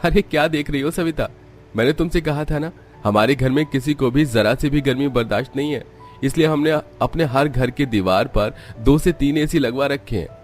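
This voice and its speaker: A man's voice: medium pitch, moderate pace, soft background music, voice pushed up, close to an everyday voice. A man voicing a proud, reassuring young husband in a cartoon story. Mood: proudly reassuring.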